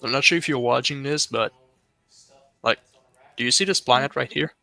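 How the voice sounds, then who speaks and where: Man, French first language, gravelly and sharp, earnest explainer on a call. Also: mid-range voice, moderate pace, no room sound. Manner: earnest, explains things with restarts. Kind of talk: casual chat while gaming.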